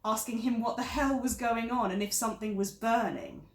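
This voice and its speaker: A woman's voice: a little high, fairly even intonation, normal speed, echoey room, lightly acted. A woman performing a stage monologue as an exasperated wife in her thirties, confiding in a friend with dramatic, emphatic repetition. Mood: indignant, gloating. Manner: dramatic, emphatic, repeats phrases for effect.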